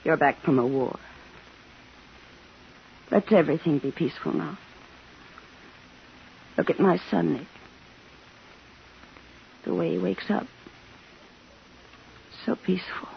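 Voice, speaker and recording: A woman's voice: sits low, takes it slowly, vocal fry, pauses often, full character voice. A woman playing a frightened, protective young mother in a 1950s radio thriller, pleading and emotional. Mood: hushed, pleading. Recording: dull, faintly noisy 1950s radio broadcast.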